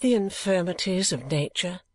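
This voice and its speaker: A woman reading an audiobook, voicing a gentle, compassionate young woman who speaks softly and earnestly. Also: lowish voice, animated, normal speed, husky, no room sound, pitched above the performer's own voice. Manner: soft, earnest, questioning.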